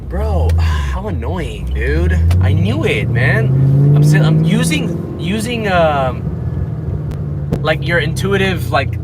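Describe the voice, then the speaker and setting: A man from Florida with an American accent chats casually to a livestream while driving, in a rambling, slangy, laid-back way. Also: medium pitch. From Florida, United States. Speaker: car livestreamer. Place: inside a moving car. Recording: loud car engine and road noise. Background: car and road noise.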